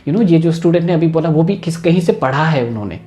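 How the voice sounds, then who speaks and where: A man's voice: on the high side, animated, quick pace, warm tone, echoey room, faint music behind. A man with an Indian accent, an English teacher giving a casual video lesson and mixing English into his talk. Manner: chatty, mixes English into the talk.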